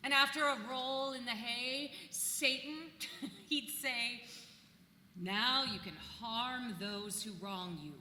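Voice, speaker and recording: A woman's voice: on the high side, slow pace, pushed and bright, full character voice. A woman in a staged play reading, playing a bawdy, weary seventeenth-century village housewife with a mocking, emphatic way of talking. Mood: mischievous, musing. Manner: mocking, irreverent, emphatic storytelling. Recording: echoey hall, distant, noisy room tone.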